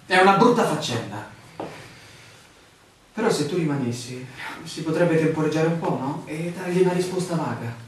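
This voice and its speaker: An actor playing a stubborn, sardonic outsider who argues with authority in a radio drama. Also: male voice, on the high side, animated, normal speed, a little hiss or hum, lightly acted. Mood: reluctant. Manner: sardonic, argumentative. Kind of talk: Italian radio drama acting. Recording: very echoey, distant radio play recording.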